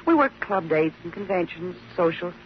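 A woman plays a long-suffering wife in a vintage radio play. Her speech swings widely in pitch, patient and coaxing, with a guarded edge. The dull old recording has slight noise. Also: animated, normal speed, close to an everyday voice. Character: weary, protective wife of a broken-down drunk. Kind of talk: old-time radio drama acting.

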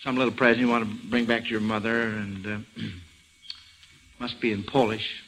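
A man's voice: fairly low pitch, flat delivery, normal speed, a bit bright. An American man hosting a mid-century radio quiz show and reading sponsor messages in a folksy, chatty way with hesitant asides. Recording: noisy vintage radio recording.

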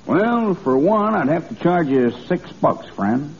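An actor playing a furtive small-time huckster, slick, confiding and wheedling, in old-time radio comedy. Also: male voice, fairly high pitch, big pitch swings, takes it slowly, exaggerated voice. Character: sly, furtive small-time huckster selling dodgy goods. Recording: muffled, noisy vintage radio recording.

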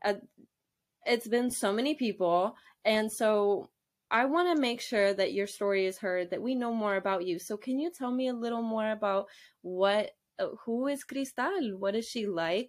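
A woman's voice: medium pitch, lively intonation, normal speed. A woman hosts a casual podcast with an upbeat, welcoming manner. She greets her guest like a friend and drops Spanish phrases into her chat. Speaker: podcast host.

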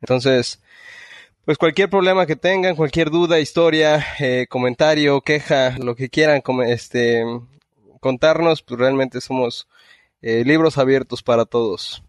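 A man's voice: mid-range voice, measured pace, no echo, studio quality. A man from Mexico with a Mexican Spanish accent, co-hosting a casual podcast chat in an easygoing way, with fillers. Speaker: podcast co-host.

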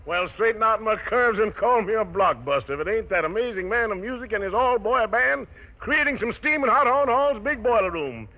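High-pitched, animated 1940s radio jive host, man. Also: brisk, narrow, tinny audio. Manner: slangy, jokey showman patter. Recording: band-limited vintage 1940s radio recording.

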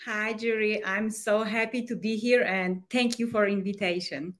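A woman's voice: mid-range voice, steady tone, normal speed. A woman from Poland with a Polish accent, a life coach and podcast guest who speaks in a thoughtful way and often searches for words. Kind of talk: podcast interview.

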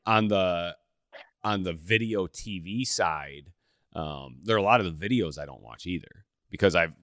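A man hosting a hunting podcast, chatting in a relaxed way with lots of fillers and restarts. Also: low voice, moderate pace, dry recording, studio quality. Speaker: podcast host. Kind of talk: casual podcast conversation.

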